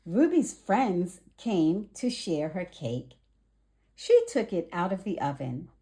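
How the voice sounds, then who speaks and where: A woman reading a children's story aloud in a deliberate, expressive narrating style. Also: lowish voice. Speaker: storybook reader.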